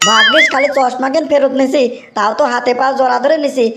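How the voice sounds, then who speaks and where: A woman voicing a broke, scheming young man in a cartoon, talking in a fast, whiny, wheedling way, with a Bogura Bangla accent. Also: sits high, background noise. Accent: Bogura dialect of Bangla.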